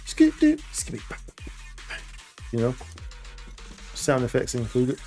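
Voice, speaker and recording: A man's voice: medium pitch, huge pitch swings, slow pace, dark tone, soft background music. A man giving casual, slangy live gameplay commentary, repeating phrases and tossing in playful vocal sound effects. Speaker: game livestreamer.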